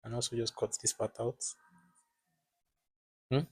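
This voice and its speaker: A man's voice: medium pitch, flat delivery, unhurried, husky, dry recording. A man in his twenties hosting a podcast, talking casually and reflectively with lots of fillers. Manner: rambling, filler-heavy, reflective. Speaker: podcast host. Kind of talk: casual chat.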